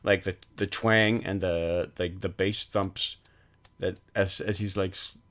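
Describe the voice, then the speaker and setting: A man co-hosting a film podcast, casually recounting scenes in a halting, piecemeal way. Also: low-pitched, steady tone, a bit bright. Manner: halting, piecing thoughts together in fragments.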